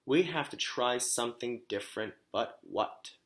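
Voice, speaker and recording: A man's voice: mid-range voice, a little slow, fairly bright tone. A man voicing three little storybook wolves, timid but defiant youngsters. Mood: worried.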